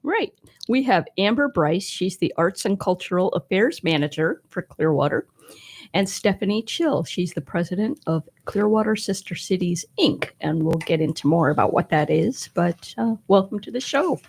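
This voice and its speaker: A woman's voice: lowish voice, animated, moderate pace, darkish tone, slightly rough. A woman co-hosting a local radio talk show, introducing guests and asking them questions in a friendly way. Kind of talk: casual radio chat. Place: radio studio.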